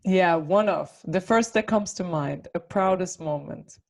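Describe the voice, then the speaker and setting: A woman's voice: on the low side, a little slow, darkish tone. A woman in her twenties hosting an interview, asking questions in a friendly, giggly way. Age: 20s.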